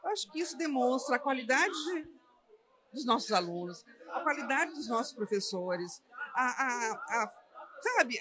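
An older Brazilian woman, a faculty member, giving interview remarks into a handheld microphone in a lobby. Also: on the high side. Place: busy indoor lobby.

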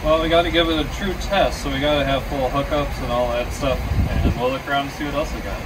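A man's voice: medium pitch, steady tone, fast, distant mic, heavy background noise. A young man chatting casually in a travel vlog, laid-back and matter-of-fact. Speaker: RV travel vlogger. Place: outdoors at a kiosk, then inside a pickup truck.

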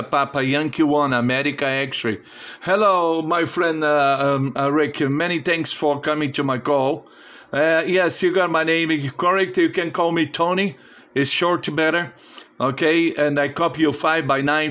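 High-pitched Brazilian man on ham radio. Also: a bit bright. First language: Portuguese. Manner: friendly, non-fluent English. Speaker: amateur radio operator. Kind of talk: casual ham radio contact. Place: indoor home radio station.

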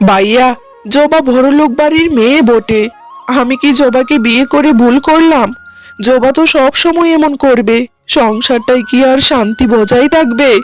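Cartoon young working man, voiced by a woman: higher-pitched, earnest, pleading, gently reproachful. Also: moderate pace, faint music behind, natural voice. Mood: sad, consoling. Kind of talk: cartoon drama acting.

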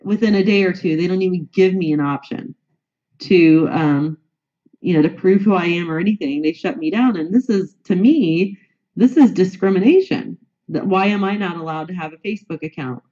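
A woman's voice: lowish voice, moderate pace, dark tone, muffled recording. A woman with a bad cold, answering interview questions as a guest in an indignant, repetitive way. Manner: indignant, repeats herself. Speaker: guest, news reporter. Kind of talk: interview answers, aggrieved complaint.

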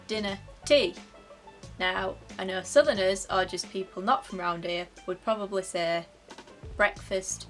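A young woman with a broad Yorkshire accent talks straight to camera in her bedroom, joking at her own expense. Her voice sits at an ordinary middle pitch, and soft music plays faintly behind her. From Yorkshire, England. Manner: chatty, self-mocking, playful. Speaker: vlogger. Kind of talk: casual comic chat to camera. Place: bedroom, indoors.

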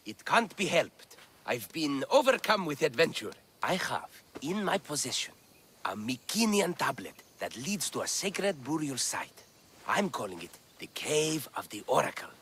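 Eager, animated bookish scholar. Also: male voice, on the high side, a little slow, a little hiss or hum. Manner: theatrical, florid, enthusiastic wording. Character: eager, bookish male scholar of antiquities in ancient Greece. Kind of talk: video game character dialogue.

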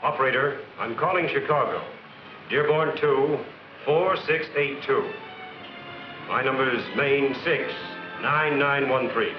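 An actor playing a brisk, middle-aged American businessman on the telephone in a 1950s industrial film, with clipped delivery. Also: medium pitch, soft background music, natural voice. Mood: businesslike. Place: office, indoors. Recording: muffled, roomy 1950s film soundtrack.

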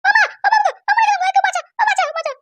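Piercingly high, quarrelsome cartoon wife. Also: fast, bright, forceful tone, muffled recording. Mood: wailing in pain. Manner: screechy, accusing. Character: shrill, quarrelsome, defiant young wife. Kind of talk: cartoon comedy dubbing.

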